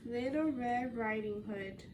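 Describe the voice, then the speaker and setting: A woman student teacher reading a storybook aloud to young children, deliberate and pausing to question her listeners. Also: a little high, background noise.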